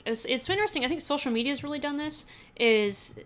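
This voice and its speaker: A woman's voice: high voice, expressive, quick pace, a bit bright. A woman in her thirties co-hosting a podcast, chatting in a rambling way and laughing often. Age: 30s. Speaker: podcast co-host. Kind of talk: casual chat.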